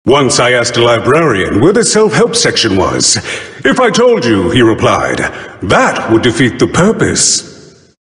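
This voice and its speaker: A bookish, bird-headed god who judges the dead in a video game. He speaks slowly and formally, with sweeping melodic swings and dry wit. The sound rings with long reverb. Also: medium pitch, huge pitch swings, a bit bright. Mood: dry, wry. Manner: grand, formal, theatrical delivery with dry wit. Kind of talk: video game character voice lines. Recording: roomy studio voice with long reverb.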